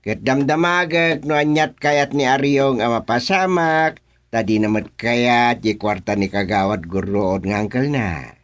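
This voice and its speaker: A man playing an authoritative village chairman in a radio comedy drama, speaking with an Ilocano accent in a commanding, deliberate manner. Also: mid-range voice, natural voice. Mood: stern, presiding. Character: authoritative village chairman presiding over a dispute.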